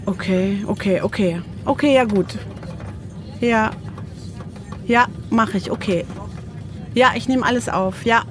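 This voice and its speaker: An actress playing a self-doubting radio journalist, talking to herself in a naturalistic, tentative way. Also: female voice, mid-range voice, slow pace, long pauses, background noise, close to an everyday voice. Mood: hesitant, questioning. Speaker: radio play protagonist, reporter. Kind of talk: naturalistic radio drama monologue.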